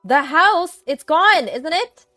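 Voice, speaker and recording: A woman voicing a possessive, indignant young mother who shouts defiantly in a narrated story. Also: sits high, lively intonation, slow, no room sound, clean studio sound, faint music behind, voice pushed up, heavily acted. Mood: angry, desperate.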